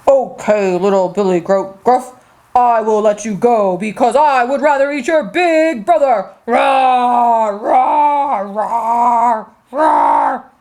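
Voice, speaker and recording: A woman's voice: a little high, big pitch swings, takes it slowly, darkish tone, pitched below the performer's own voice, exaggerated voice. A woman voicing a big, bad, hungry troll from a children's storybook, menacing and given to growly roars. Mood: roaring.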